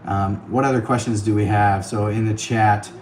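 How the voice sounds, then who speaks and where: A man's voice: fairly low pitch, fairly even intonation, normal speed, a little mellow, roomy sound, slight background noise. A man hosting a casual livestream, chatty and thanking viewers by name, laughing often, his voice a bit worn. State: voice getting worn. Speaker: livestream host. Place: on the road, streaming from an iPad. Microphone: tablet mic.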